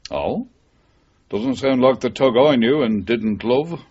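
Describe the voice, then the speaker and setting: Husky, cynical ex-policeman, muffled radio. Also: mid-range voice, lively intonation, takes it slowly, a little mellow. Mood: wry. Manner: guarded, wry. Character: wary, cynical male ex-policeman. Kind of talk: 1980s radio drama acting. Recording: dull, muffled radio broadcast recording.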